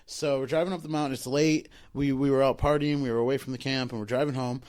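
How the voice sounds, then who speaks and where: A man chats casually in a middle-pitched voice. He retells things in an informal, emphatic way, repeating himself for effect as a podcast co-host. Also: fairly even intonation, fast, a little mellow, clean tone. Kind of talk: casual chat, storytelling.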